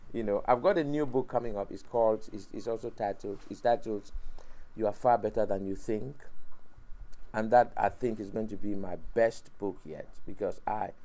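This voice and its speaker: A man's voice: lowish voice, normal speed, warm tone. A middle-aged man from Cameroon whose first language is French, giving preachy, motivational answers in an interview, often repeating words and laughing at his own jokes. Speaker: interview guest, YouTuber and preacher.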